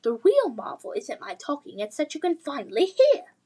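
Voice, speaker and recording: A woman's voice: high-pitched, huge pitch swings, normal speed, dark tone, voice pushed up, heavily acted. A woman voicing a haughty, fussy talking dragon from a children's fantasy book, with a posh English accent and refined, scolding diction. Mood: exasperated. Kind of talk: children's fantasy read-aloud.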